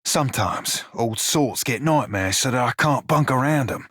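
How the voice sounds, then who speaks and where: A man playing a roguish, good-hearted creature in a video game, talking in salty dialect with a rough, husky voice. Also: mid-range voice, expressive, normal speed, a bit bright, no room sound, clean studio sound. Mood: uneasy, sincere. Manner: salty pirate dialect, colloquial. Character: roguish blue-furred psychic pirate, flirty, salty, good-hearted. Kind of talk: video game character dialogue.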